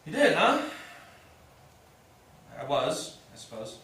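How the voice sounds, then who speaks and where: Casting: man as self-deprecating slacker son, stage drama reading. Mocking, profane, animated, bright tone, heard in a boomy room. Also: takes it slowly, full character voice. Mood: dry, sardonic. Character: sardonic, self-deprecating washed-up musician son, around thirty. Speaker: actor in a staged play reading. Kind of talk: staged reading, naturalistic dialogue. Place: indoor staged reading, recorded from afar. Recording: echoey room, distant mic, faint noise.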